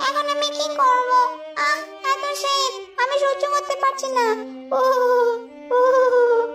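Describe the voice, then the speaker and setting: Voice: extremely high pitch, flat delivery, reverberant, far from the mic, over music, pitched above the performer's own voice, heavily acted. A performer voicing a dramatic, whiny mother bird in a children's cartoon fable. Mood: shivering, wailing. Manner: whiny, dramatic, drawn-out complaining. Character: harsh, suspicious mother bird, later wailing and pleading.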